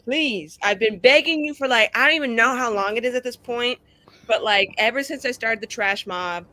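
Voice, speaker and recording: A woman's voice: on the high side, moderate pace, bright, forceful tone, no echo. A young woman chatting casually and jokingly in a group, with a slangy, theatrical, hyperbolic way of talking. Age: young adult. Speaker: cast member of a tabletop roleplaying show. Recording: music behind the voice. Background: music.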